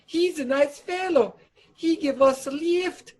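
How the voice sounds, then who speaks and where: A high-voiced, nervous crooked doctor in a radio comedy, played by a woman. The delivery is slow, hesitant and placating, in broken English, like a tipsy henchman soothing a boss. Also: darkish tone. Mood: anxious. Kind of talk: stage comedy radio acting.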